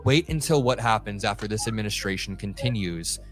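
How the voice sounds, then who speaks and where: A man's voice: on the low side, expressive, fairly quick, faint music behind. A man giving an online news commentary, indignant and emphatic as he narrates clips. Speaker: political commentator.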